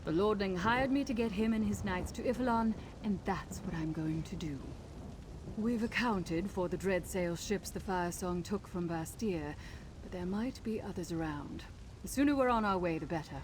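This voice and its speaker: A woman voicing a brisk, confident mercenary ship captain in a video game. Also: mid-range voice, noisy background, lightly acted. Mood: confident, commanding. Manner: commanding, brisk. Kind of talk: video game dialogue acting.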